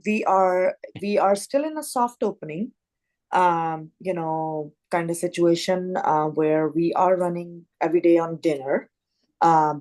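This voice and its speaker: A woman from Pakistan, a restaurant owner presenting on a video call, halting and full of "you know" fillers. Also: sits low, a little mellow.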